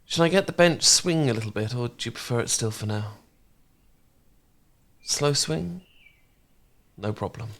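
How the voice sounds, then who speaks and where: A man playing a gentle, caring housemate in an intimate ASMR romance roleplay, speaking softly and reassuringly. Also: mid-range voice, expressive, gravelly. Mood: gentle concern. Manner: soft, reassuring, unhurried conversational delivery. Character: gentle, caring male housemate, shyly fond and easygoing.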